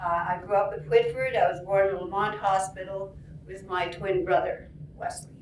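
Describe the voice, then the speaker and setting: A woman's voice: medium pitch, fairly even intonation, normal speed, darkish tone, a little hiss or hum. Older woman, distant and roomy. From Alberta, Canada. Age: 70s. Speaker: storyteller. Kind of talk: spoken storytelling. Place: conference room.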